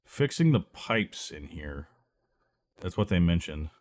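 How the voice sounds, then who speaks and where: A man's voice: low-pitched, very animated, takes it slowly, a little mellow. A man with a stuffy, nasal voice from a cold, rambling and thinking aloud as he plays a video game. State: recovering from illness, congested. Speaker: let's play gamer. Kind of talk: casual gameplay commentary.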